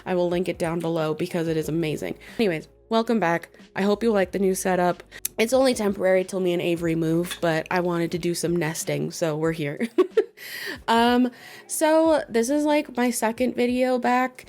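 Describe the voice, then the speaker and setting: A woman's voice: fairly low pitch, fairly even intonation, moderate pace, a little mellow. Chatty young woman, sick and phlegmy. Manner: chatty, filler-heavy, giggly. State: sick and losing her voice, phlegmy. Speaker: YouTuber. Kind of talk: casual commentary vlog. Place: home desk setup.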